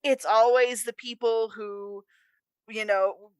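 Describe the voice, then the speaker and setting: A woman's voice: a little high, fairly even intonation, takes it slowly, smooth, dry recording. A woman co-hosting a podcast, chatting casually about a film in an emphatic way with exasperated asides. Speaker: podcast co-host. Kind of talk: casual chat, film discussion.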